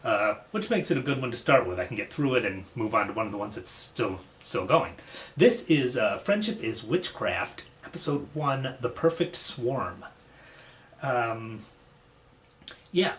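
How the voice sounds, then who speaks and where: A man's voice: medium pitch, fairly bright tone. A man hosting a reaction video, giving casual, conversational commentary.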